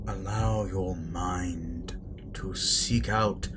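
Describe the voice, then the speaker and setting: A man playing a menacing, controlled Sith master in a guided-meditation roleplay, speaking deliberately and commandingly, often near a whisper. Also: sits low, fairly even intonation, noisy background, full character voice. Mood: calm, hushed, controlling. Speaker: roleplay audio performer. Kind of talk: slow guided-meditation roleplay, breathy dramatic monologue. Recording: voice over loud background music.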